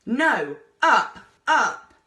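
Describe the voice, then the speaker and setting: A woman's voice: a little high, very animated, drawn-out words, pushed and bright, echoey room, pitched above the performer's own voice, full character voice. A woman voicing a daredevil male explorer pilot from a storybook, commanding and a little mad, barking urgent instructions. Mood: urging. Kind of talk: storybook character voice.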